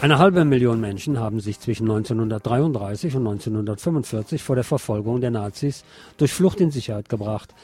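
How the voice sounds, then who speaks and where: A man's voice: mid-range voice, lively intonation, a little fast, over music. A man narrating a scripted documentary.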